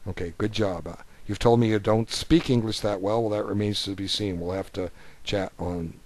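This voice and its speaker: A man with a fairly low voice talks through a chess game as a coach. His commentary is informal and rambling, in a folksy, conversational manner. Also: steady tone, normal speed, a little mellow. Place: voice-over of an on-screen chess board.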